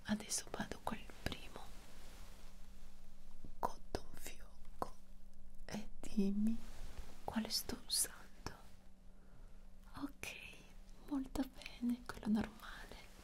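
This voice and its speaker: Whispering young woman, very slow. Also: a little high, fairly even intonation. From Italy. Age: young adult. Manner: soft, unhurried, reassuring. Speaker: ASMR creator playing a medical examiner. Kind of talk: whispered ASMR medical exam roleplay. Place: small dark quiet room, indoors. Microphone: close microphone.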